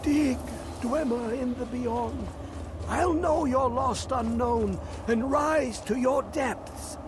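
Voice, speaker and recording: An actor playing a mad, rambling old hermit scholar in a fantasy video game, singsong, erratic and cryptic. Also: male voice, very high voice, slow. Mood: rapturous, cryptic. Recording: clear background game ambience noise.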